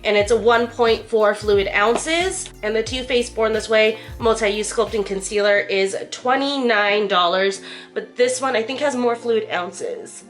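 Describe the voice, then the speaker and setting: A woman's voice: a little high, measured pace, soft background music. A young woman reviewing makeup in a chatty vlog, sounding congested and nasal from the flu and filling her talk with "like". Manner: chatty, lots of "like" fillers. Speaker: beauty vlogger. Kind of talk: casual product review.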